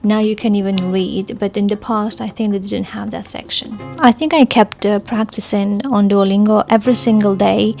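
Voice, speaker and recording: A woman's voice: mid-range voice, fairly even intonation, normal speed, creaky, hardly any pauses, darkish tone, soft background music. A woman whose first language is Armenian, giving a casual, thoughtful vlog review in English with frequent fillers and restarts. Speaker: vlogger. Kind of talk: casual talking-to-camera review.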